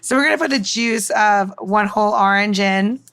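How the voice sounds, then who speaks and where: A woman's voice: medium pitch, moderate pace, fairly bright tone. A woman casually talking through a cocktail recipe as co-host, chatty and rambling with playful asides. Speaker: co-host and bartender.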